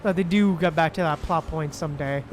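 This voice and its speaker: A man chatting casually as he livestreams a game, with hesitant, drawn-out phrasing. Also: a little high. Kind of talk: casual livestream chat while playing. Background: game music.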